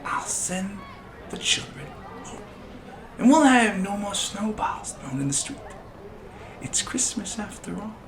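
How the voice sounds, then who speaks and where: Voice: mid-range voice, very animated, unhurried, pauses often, a crowd in the background. A performer playing a cheerful, placating town constable in a radio drama.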